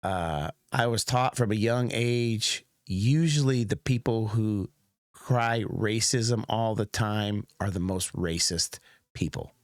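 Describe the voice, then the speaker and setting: A middle-aged man from the United States talks through his thoughts in a loose, unhurried way, full of ums and restarts. His voice sits on the low side. The sound is dry, with little room around it. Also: no room sound. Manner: rambling and reflective, many fillers and restarts. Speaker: reaction video host. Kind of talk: casual commentary.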